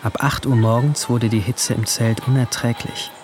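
A man playing a brooding, alienated seventeen-year-old who narrates his guilt in a hushed, introspective radio drama style. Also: mid-range voice, steady tone, moderate pace, noisy background, close to an everyday voice. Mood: sullen, uneasy. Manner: hushed, introspective, at times whispering.

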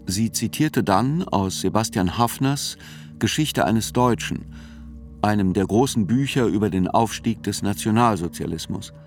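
A thoughtful reporter narrates a radio drama in a low register. The delivery is scripted literary reportage, sober and reflective, with faint music underneath. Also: male voice, expressive, a little slow, darkish tone.

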